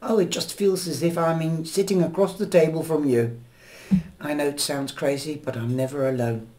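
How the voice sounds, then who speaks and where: A woman giving a weekly tarot horoscope reading in a gentle, chatty, rambling way, her voice a little nasal from a cold. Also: sits low, fairly even intonation, moderate pace. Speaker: tarot reader. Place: indoors at a table, camera over the cards.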